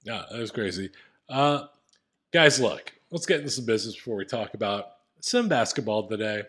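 A man's voice: lowish voice, expressive, normal speed, fairly bright tone, slightly rough. A man co-hosting a podcast in casual, joking banter that can turn profane. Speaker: podcast co-host.

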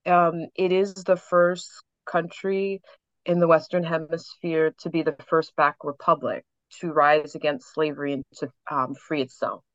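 A woman's voice: sits low, little pitch movement, unhurried, dry recording, dull audio. A New York-born woman whose first language is Haitian Creole, chatting and reflecting with frequent laughs as a guest on a podcast interview. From New York City. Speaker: author and guest.

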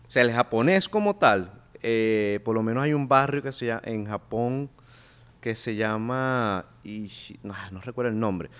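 A young man chatting as a podcast guest, easygoing and slangy, often trailing into a low mutter. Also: unhurried, a bit bright, clear, resonant tone. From Korea. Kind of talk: casual chat.

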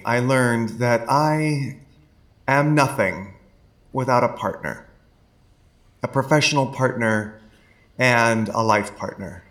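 A man playing an awkward, earnest composer who gives a sentimental speech in a hesitant, halting, apologetic way. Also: mid-range voice. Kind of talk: nervous speech at a microphone.

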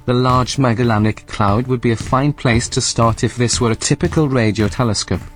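An actor playing a composed, snide artificial intelligence running a station in a sci-fi audio drama, speaking in a precise, formal, deadpan way. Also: male voice, medium pitch, normal speed, faint music behind, close to an everyday voice. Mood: matter-of-fact. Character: dry, snide male AI station supervisor, composed.

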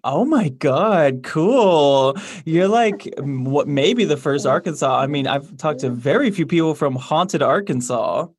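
Higher-voiced male podcast host. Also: expressive, measured pace, hardly any pauses. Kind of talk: casual chat with a caller.

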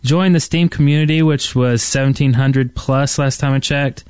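A man's voice: medium pitch, steady tone, moderate pace, a little mellow, clear, resonant tone, dry recording. A man hosting a podcast, chatting casually. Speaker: podcast host.

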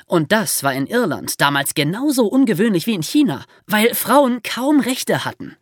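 A woman telling history as a podcast host, in a lively explanatory storytelling style for young listeners. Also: mid-range voice, normal speed, no room sound, clean studio sound.

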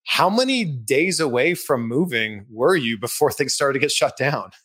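A man's voice: mid-range voice, animated, moderate pace, a bit bright. A Canadian man who hosts on TV, interviewing a guest with friendly, curious questions. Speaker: interviewer, TV host. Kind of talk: casual video interview.

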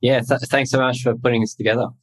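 A man, answering interview questions in a measured, hesitant way with many fillers. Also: mid-range voice, flat delivery, slightly rough. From Australia. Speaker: guest, crypto tax software founder. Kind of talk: casual interview.

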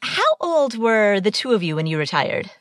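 A woman's voice: medium pitch, sing-song, normal speed, no room sound. American woman hosting a podcast, dry close sound. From Ohio, United States. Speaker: podcast host, interviewer. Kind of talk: scripted podcast intro and interview.

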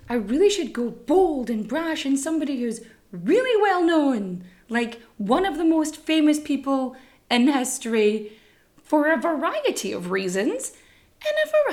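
Woman host, a bit croaky, swears freely, higher voice. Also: sing-song, measured pace, darkish tone. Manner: chatty, swearing, self-mocking storyteller. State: voice still croaky from strain. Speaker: podcast host. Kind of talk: casual comic monologue.

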